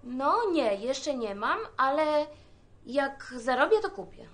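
A woman's voice: high-pitched, expressive, slow pace, fairly bright tone, full character voice. An actress playing a vain, foul-mouthed older woman who talks big and boasts. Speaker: film character, a broke woman selling shoes. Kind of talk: film acting.

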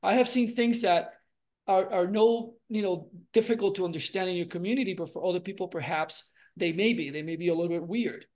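A man's voice: sits high, brisk. A man in his forties from Puerto Rico, speaking earnestly on a video call as an interview guest and telling his story with many gestures and restarts. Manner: earnest, expressive, gestures a lot, restarts phrases. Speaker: guest, relationship coach and doctor. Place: home room with framed pictures, indoors.

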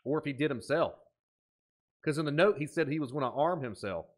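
A man in his thirties giving off-the-cuff reaction commentary, thinking aloud in an excitable but musing way. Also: on the high side, brisk. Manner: excitable, exclaiming, dropping to musing asides. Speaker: host of a reaction video. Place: small indoor room at a desk.

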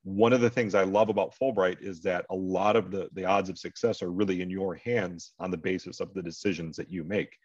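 A man's voice: low-pitched, steady tone, brisk, few pauses, darkish tone, dry recording. A middle-aged American man hosting an online advising session, talking informally and explaining things directly to his audience. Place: indoors, on a video call. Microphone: video call microphone. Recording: dull, lo-fi video call audio.

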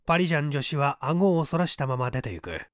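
An audiobook reader giving a measured, dramatic narration of literary fiction. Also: mid-range voice, very animated, dry recording, studio quality.

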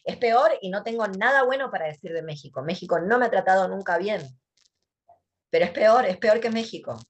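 A woman's voice: medium pitch, lively intonation, brisk, husky. An Argentine woman speaking casually as a guest, blunt, sarcastic and emphatic, over a headset on a video call from a dim room. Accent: Argentine Spanish. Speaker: guest, writer and thinker.

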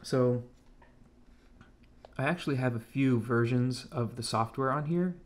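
An American man talks through a hobby electronics demo in a medium-pitched voice. His delivery is laid-back and informal, like someone explaining a project at his desk. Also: a little slow. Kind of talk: casual tech demonstration. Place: indoors at a home computer desk.

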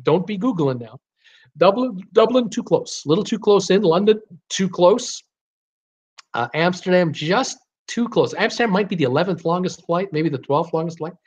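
A man's voice: high voice, lively intonation, moderate pace, a little mellow, husky. A man in his sixties hosting a casual livestream quiz from a home office, reading out guesses and answering himself in short, clipped phrases. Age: 60s. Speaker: livestream host. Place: small home office, indoors. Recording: slightly dull webcam audio.

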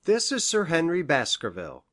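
A woman's voice: low voice, huge pitch swings, normal speed, dry recording, pitched below the performer's own voice, full character voice. A woman voicing an earnest male doctor in an audiobook, formal and deferential in manner. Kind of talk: acted audiobook dialogue.

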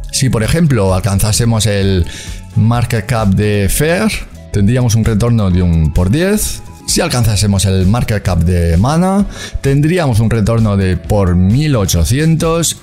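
A man speaking talks through numbers and examples in a mid-pitched voice. He explains patiently, step by step, like a channel host walking viewers through a screen recording. Faint music plays under his voice. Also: dark tone. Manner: explanatory, walks through prices and calculations step by step. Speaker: crypto gaming channel host. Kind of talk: screen-recorded walkthrough commentary.

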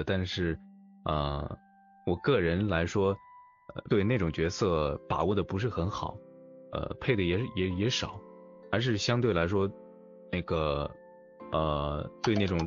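A man who voice-acts in audio dramas, chatting casually in an interview in a hesitant, thoughtful, halting way. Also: low-pitched. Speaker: amateur audio drama voice actor being interviewed. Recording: dull lo-fi voice chat with music. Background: music.

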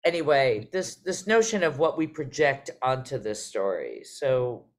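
A woman's voice: extremely low pitch, very animated, unhurried. A middle-aged woman hosting a casual discussion on a video call, searching for her words as she goes. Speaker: host, artistic director. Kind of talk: moderating a casual panel discussion. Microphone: video call microphone.

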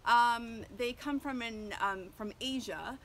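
A woman's voice: on the high side, slow, a bit bright, slight background noise. Woman in her thirties, chatty homesteader vlog, outdoors by a coop, faint ambience. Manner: chatty, many ums and restarts. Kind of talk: casual educational vlog. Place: outdoors beside a chicken coop. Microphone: camera at close range.

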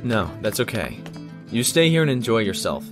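A man's voice: on the low side, big pitch swings, normal speed, music in the background. A man playing a courteous, earnest young man in an audio drama. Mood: relaxed, businesslike.

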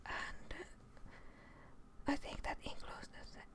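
A woman's voice: slow pace, a bit bright. A young woman whispering casual, sweary ASMR commentary as she plays a video game, muttering to herself close to the microphone.

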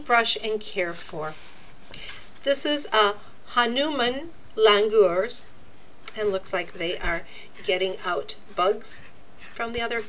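Slow, bright, animated woman reading aloud. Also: a little high, a little hiss or hum, poor audio. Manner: deliberate, expressive teacherly reading. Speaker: narrator reading a children's book aloud. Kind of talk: read-aloud children's nonfiction. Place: indoors, book laid open before a camera.

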